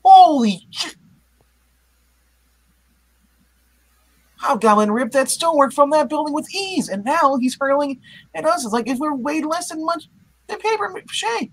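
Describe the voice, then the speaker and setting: A reader playing an earnest, protective young male superhero in a hammy, halting live comic book puppet reading. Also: high-pitched, animated, low-quality recording. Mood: alarmed. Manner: hammy, halting read-aloud with asides.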